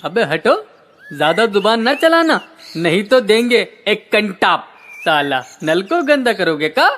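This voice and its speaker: A woman playing a rude, quick-tempered village man in a horror story, with a brash, scolding rustic manner. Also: medium pitch, very animated, a bit bright. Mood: bullying.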